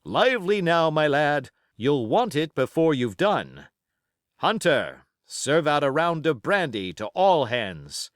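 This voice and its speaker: A man voicing a brisk, gruff sea captain in an audiobook, barking terse orders. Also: fairly high pitch, sing-song, no echo, clean studio sound, pitched above the performer's own voice. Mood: commanding. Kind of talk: audiobook character acting.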